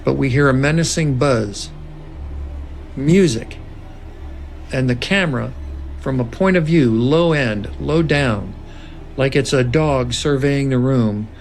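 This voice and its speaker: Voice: mid-range voice, slow pace, long pauses, a little mellow, slight background noise. A narrator reading scripted stage directions aloud at a film table read.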